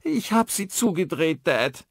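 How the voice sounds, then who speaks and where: A man's voice: high voice, sing-song, a little slow, vocal fry, no room sound, clean studio sound, pitched above the performer's own voice, full character voice. A man voicing a frightened young daughter in an audiobook, pleading urgently and anxiously. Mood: anxious, insistent. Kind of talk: audiobook character dialogue.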